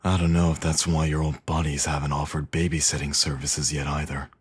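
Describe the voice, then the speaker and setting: A man's voice: extremely low pitch, level tone, a little fast, breathy edge, no room sound, heavily acted. A man playing a cocky villain in an intimate audio roleplay, with a teasing, smug, flirtatious drawl.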